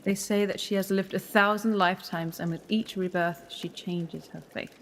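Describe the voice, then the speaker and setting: A woman playing a solemn, fatalistic survivor of an alien temple in an audio drama, speaking gravely and formally. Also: medium pitch, steady tone, normal speed, a little hiss or hum, close to an everyday voice. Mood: solemn, mysterious.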